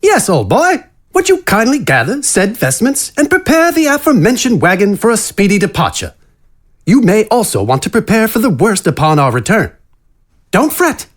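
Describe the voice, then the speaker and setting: A man playing a pompous, mockingly polite gentleman rogue with florid, theatrical manners. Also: high voice, voice pushed up, heavily acted.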